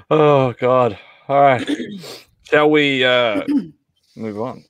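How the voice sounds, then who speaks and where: A man's voice: medium pitch, extremely slow, smooth, dry recording. A man hosting a live online table read with casual banter over a video call. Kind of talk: casual banter, reading out chat comments.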